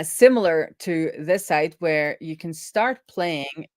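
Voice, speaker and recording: A woman's voice: fairly low pitch, no echo, low-quality recording. A woman explaining programming informally as a host, thinking aloud as she goes. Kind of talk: informal live coding explainer.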